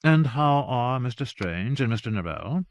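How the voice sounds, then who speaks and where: A man voicing a lonely, bitter wealthy lady who speaks coolly and measured. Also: mid-range voice, very animated, no room sound, studio quality. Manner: cool, clipped and measured.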